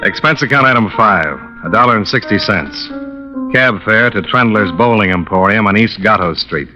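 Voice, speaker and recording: A man's voice: lowish voice, normal speed, over music, close to an everyday voice. An actor playing a cool, probing insurance investigator who narrates his case in a clipped, businesslike old-time radio detective style. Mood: matter-of-fact. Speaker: lead character and narrator. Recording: dull, band-limited vintage radio recording.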